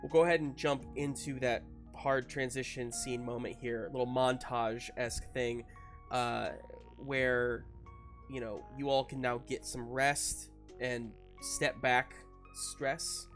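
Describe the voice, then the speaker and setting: Guy game mastering a tabletop rpg, mid voice, informal n halting, bit of music under. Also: medium pitch, flat delivery, a bit bright. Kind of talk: narrating a tabletop roleplaying game, improvised.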